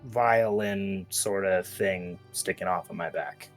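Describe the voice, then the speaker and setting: A man's voice: sits low, takes it slowly, faint music behind. An easygoing man playing in a tabletop game over a video call. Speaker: player.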